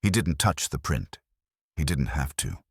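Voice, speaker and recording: A man's voice: a bass voice, sing-song, unhurried, creaky, slightly rough, no room sound, clean studio sound. A man reading a scripted detective story as narrator, in a wry first-person hardboiled manner.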